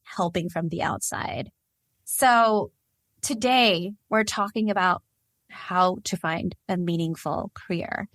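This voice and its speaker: A woman hosting a podcast interview, talking in an energetic, chatty way with quick asides. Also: lowish voice, dry recording. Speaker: podcast host and career coach.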